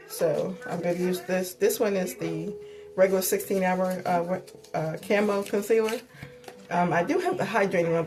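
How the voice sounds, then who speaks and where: A woman with a sore throat and a stuffy voice, chatting casually through a makeup tutorial with many ums and likes. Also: lowish voice. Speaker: beauty vlogger.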